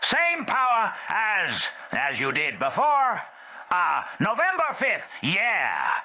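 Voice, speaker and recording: A man's voice: high-pitched, wildly swooping pitch, slow pace, pushed and bright, dry recording, voice pushed up, heavily acted. A man voicing a boastful, muddled drunken woman with slurred, hiccuping speech in a comic storybook tale. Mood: drunken pep talk.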